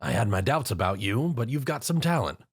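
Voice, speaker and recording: A man's voice: medium pitch, sing-song, a little fast, slightly rough. A man voicing a blunt, matter-of-fact female police officer. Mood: approving.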